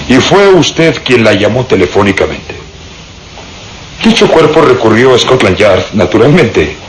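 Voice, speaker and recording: Stern police inspector, very animated delivery. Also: male voice, medium pitch, unhurried, a little hiss or hum, rough recording. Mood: stern, probing. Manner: formal, authoritative, interrogating. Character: stern, methodical, officious male Scotland Yard police inspector. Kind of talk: radio drama acting.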